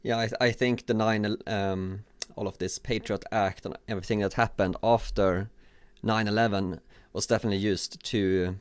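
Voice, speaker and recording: Male podcast host, lowish voice, halting delivery. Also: fairly even intonation, smooth, no room sound. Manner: halting, stumbles and restarts. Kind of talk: podcast interview.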